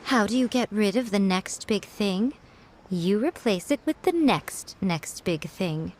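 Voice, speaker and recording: A woman's voice: medium pitch, slight background noise, lightly acted. An actress voicing a sly, confident woman who runs a publishing house, speaking in a teasing, composed way. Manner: smug, teasing, composed and persuasive. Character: sly, confident adult woman editor, playful and scheming. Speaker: chief editor of a publishing house. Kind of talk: game voice acting.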